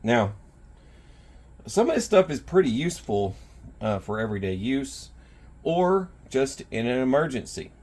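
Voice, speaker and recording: A man hosting a gear video, talking to viewers in an informal, rambling, folksy way with a rough, husky voice. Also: medium pitch, very animated, a little slow, long pauses, a little mellow. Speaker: survival gear video host. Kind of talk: casual product showcase talk to viewers. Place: indoors in a house.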